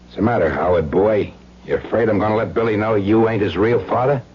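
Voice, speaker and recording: An actor playing a menacing, mocking crook with rough, slangy speech in an old-time radio drama. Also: male voice, lowish voice, brisk. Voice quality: husky, rough. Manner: slangy, streetwise, sneering. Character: menacing crook, a cold, mocking tough guy. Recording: dull lo-fi old radio recording.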